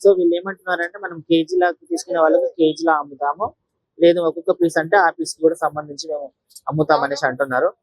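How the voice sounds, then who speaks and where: A man's voice: a little high, fairly even intonation, fast talker, dry recording, low-quality recording. A man giving a casual walk-through vlog commentary, explaining things directly to viewers as he goes. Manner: explanatory, repetitive filler tags, addressing viewers directly. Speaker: vlogger, market tour host.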